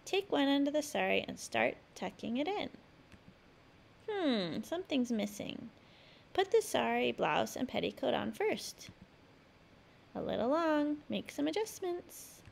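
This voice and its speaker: Animated, high-pitched woman reading to children. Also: unhurried, gravelly, a little hiss or hum. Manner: animated, emphatic delivery aimed at young children. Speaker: children's read-aloud host. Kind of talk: expressive picture-book read-aloud narration.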